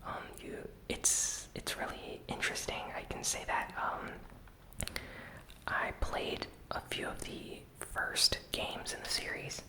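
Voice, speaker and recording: A host giving ASMR gaming news commentary in a breathy, unvoiced whisper, soft-spoken and unhurried. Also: low-quality recording.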